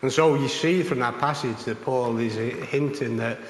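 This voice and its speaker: A man preaching an informal sermon in a rambling, conversational way, his voice a little croaky. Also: mid-range voice, normal speed, roomy sound, long reverb tail, a little hiss or hum. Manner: conversational, rambling with many fillers. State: slightly croaky voice.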